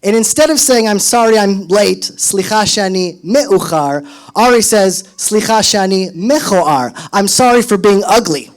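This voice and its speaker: Animated high-voiced man speaking. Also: unhurried, low-quality recording. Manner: animated, acts out quotes in raised voice. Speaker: speaker at a solidarity event. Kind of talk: storytelling speech to an audience.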